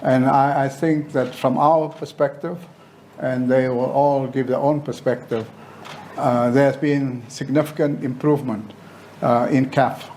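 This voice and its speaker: A man addresses a press conference as its chair. He speaks slowly and deliberately, often pausing mid-sentence. His pitch is average and there is faint background noise. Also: steady tone, darkish tone. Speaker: football association official chairing a press conference.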